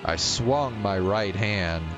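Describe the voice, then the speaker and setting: A man with a very low voice narrates a visual novel in the first person. His reading is dramatic and deliberate, moving along at a slow pace. Music plays clearly behind him.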